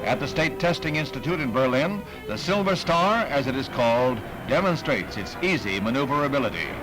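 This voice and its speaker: Animated American newsreel announcer, music behind. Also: male voice, medium pitch, fairly quick, rough recording. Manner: punchy, wry announcer delivery. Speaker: newsreel narrator. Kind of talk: 1960s newsreel narration, scripted.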